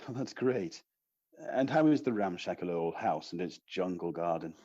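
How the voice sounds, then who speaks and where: An actor playing an affectionate, gently teasing husband on the telephone in an audio play, reassuring in manner. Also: male voice, low voice, animated, moderate pace. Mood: fond, curious. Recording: dull lo-fi phone call sound.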